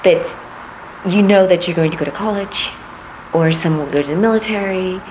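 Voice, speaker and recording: A middle-aged woman from Puerto Rico, raised in Missouri, answering interview questions as a political candidate, measured and reflective with frequent pauses. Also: on the low side, a little mellow, a little hiss or hum, rough recording.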